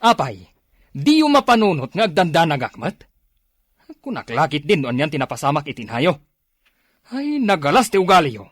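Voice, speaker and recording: A man's voice: a little high, big pitch swings, normal speed, long pauses, dry recording, clean studio sound. A man playing a stern, short-tempered older Filipino father scolding his family in a radio drama. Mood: angry, scolding.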